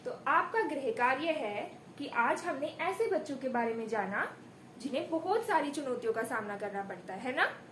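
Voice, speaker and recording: A woman's voice: high voice, lively intonation, moderate pace, a bit bright. A woman giving a demonstration lesson as a primary school teacher, expressively telling a story and prompting pupils with questions and praise. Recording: echoey room with faint background noise.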